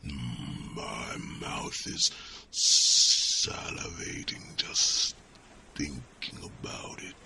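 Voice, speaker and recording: An enormous old dragon in an ASMR fantasy roleplay, extremely slow and ponderous, with an extremely gravelly growl. He is a lazy, hungry companion who rumbles and hums between phrases. There is clear noise behind the voice. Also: huge pitch swings, sharp tone, full character voice. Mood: hungry, languid.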